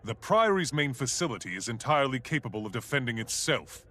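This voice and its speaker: A man's voice: mid-range voice, very animated, fairly quick, sharp tone. An actor playing a self-assured male scholar of magic in a fantasy video game, speaking precisely and haughtily. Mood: haughty, confident.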